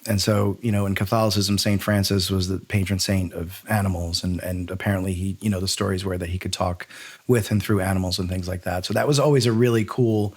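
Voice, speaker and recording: Low-pitched American man hosting a podcast. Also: fairly even intonation, brisk, breathy edge. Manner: discursive, informal, thinking aloud. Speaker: podcast host. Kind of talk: casual conversational interviewing.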